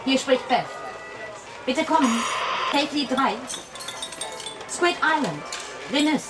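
Loud music sits behind a lively, mid-pitched female voice. a brisk, practical diner waitress with dry humour, in a radio play. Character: brisk, dry small-town café waitress, practical and helpful. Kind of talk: German radio crime drama acting.

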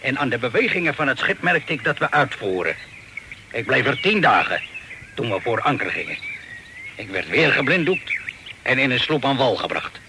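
An actor playing an old sea captain who tells his adventures slowly with dry sayings, in an old radio play with hiss. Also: mid-range voice. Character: old sea captain telling yarns, gruff and dry-witted. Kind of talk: old Dutch radio drama acting.

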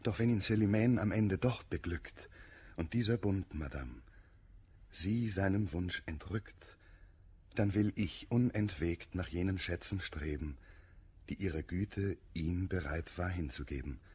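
Deep, husky, courtly suitor. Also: male voice, a little slow, darkish tone, exaggerated voice. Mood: ardent, sincere. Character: earnest, courtly gentleman suitor, patient and devoted. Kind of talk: German radio play of classical verse comedy.

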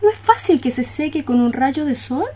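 A woman's voice: high voice, animated, normal speed, darkish tone. An actress playing a tender, lovesick young cockroach girl, sighing and plaintive in a radio play. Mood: wistful. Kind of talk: radio theatre acting.

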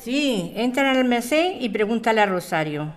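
A woman's voice: a little high, sing-song, normal speed. A woman with a Spanish accent from Spain playing a weary, fed-up bar owner in a scripted radio drama. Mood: exasperated. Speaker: actor in an audio drama.

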